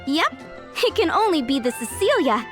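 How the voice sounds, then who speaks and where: Casting: young village girl, high and lively, helpful and sure of herself, fantasy game. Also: normal speed, over music. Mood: thoughtful, decisive. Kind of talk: video game character acting.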